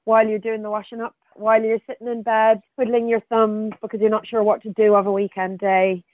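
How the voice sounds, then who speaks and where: A woman's voice: medium pitch, level tone, a little fast, dry recording. Woman on a thin phone line. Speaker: theatre artistic director, interview guest. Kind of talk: interview conversation. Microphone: remote phone or call.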